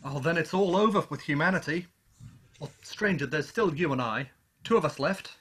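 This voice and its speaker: A man's voice: fairly high pitch, expressive, normal speed, a bit bright, breathy edge. An actor playing a weary, lonely man who survived an invasion, speaking in a solemn, literary manner in a radio play. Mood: anxious, despairing. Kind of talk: dramatic radio play narration and dialogue.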